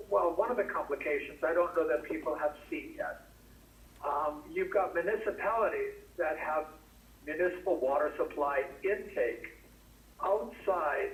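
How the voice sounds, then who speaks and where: A man on a speakerphone in a public meeting, explaining county rules in a measured way. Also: fairly high pitch, far from the mic. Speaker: county administrator on the phone. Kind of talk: public meeting answers by phone. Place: meeting room, heard over a speakerphone. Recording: phone voice on a speaker in an echoey room.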